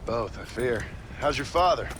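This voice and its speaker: An actor playing a rugged, sardonic American cowboy gunslinger in a video game, dryly sarcastic and weary but kind. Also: male voice, medium pitch, lively intonation, normal speed, a little hiss or hum, natural voice. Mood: wry, concerned. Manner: wry, dry sarcasm. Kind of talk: video game cutscene acting. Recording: loud music behind the voice.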